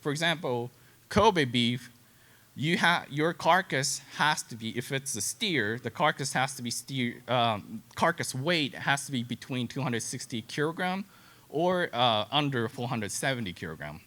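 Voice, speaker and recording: A man with a Japanese accent speaks in a bright, forward voice at a middling pitch. He gives an informal slide presentation as a specialist, in simple, halting non-native English. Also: expressive. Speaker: cattle genetics specialist, presenter.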